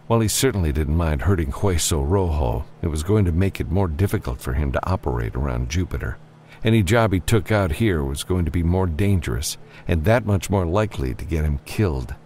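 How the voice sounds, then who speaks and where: A man's voice: very deep voice, darkish tone, slight background noise. A man reading scripted fiction as an audiobook narrator.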